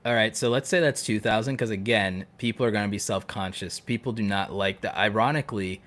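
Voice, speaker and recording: A man's voice: on the low side, fairly quick, a bit bright. A man from North Carolina co-hosting a podcast in casual group chat, explaining and repeating himself for emphasis. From North Carolina, United States. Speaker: podcast co-host.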